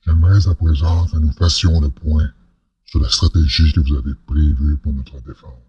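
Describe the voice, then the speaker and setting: A man's voice: fairly even intonation, moderate pace, no room sound, lo-fi sound, full character voice. A man playing a grave, courteous head of state in a science-fiction audio drama, speaking formally and with measure. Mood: measured, confiding. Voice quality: very deep, husky, rasping.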